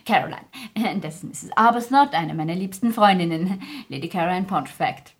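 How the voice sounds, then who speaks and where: An actress playing an older aristocratic hostess in a German radio play, gracious, amused and rambling. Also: female voice, fairly low pitch, animated, moderate pace, breathy edge. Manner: rambling, gracious, chuckling, muddles her facts. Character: chatty, forgetful, amused aristocratic society hostess, older lady.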